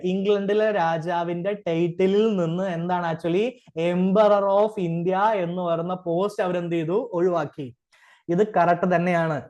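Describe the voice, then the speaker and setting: Man, high-pitched voice, Malayali accent, informal lecture, rhetorical questions, frequent check-ins, English terms mixed in. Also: a little mellow. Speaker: exam coaching teacher. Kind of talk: informal classroom-style lecture.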